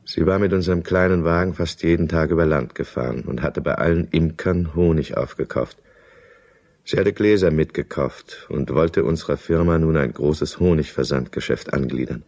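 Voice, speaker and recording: A man playing an alcoholic businessman husband in a German radio drama, brooding, irritable and quick to justify himself. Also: extremely low pitch, a little mellow. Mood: brooding, confessional. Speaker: lead character and first-person narrator.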